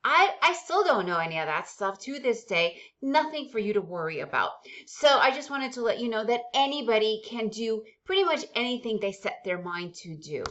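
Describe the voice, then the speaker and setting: A woman's voice: on the high side, big pitch swings, moderate pace, hardly any pauses, fairly bright tone. Upbeat woman vlogger, slightly muffled audio. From Soviet Union, raised in the United States. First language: Russian. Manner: upbeat, chatty, asks viewers questions. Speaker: day-trading channel host. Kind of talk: casual direct-to-camera vlog.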